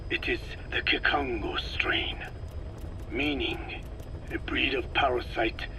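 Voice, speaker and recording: Slow, whispery old scientist. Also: male voice, mid-range voice, background noise. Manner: measured, formal, halting phrases. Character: grave, learned old male parasite expert. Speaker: parasite expert. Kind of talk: dramatic video game dialogue.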